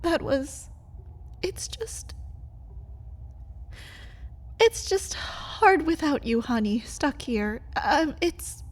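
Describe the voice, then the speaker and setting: A woman's voice: sits high, lively intonation, drawn-out words, long pauses, exaggerated voice. A woman playing a desperate, bitter vampire captive pleading with a lover in a dramatic ASMR roleplay. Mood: apologetic, pleading. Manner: dramatic, swinging between breathy whispers and pleading outbursts.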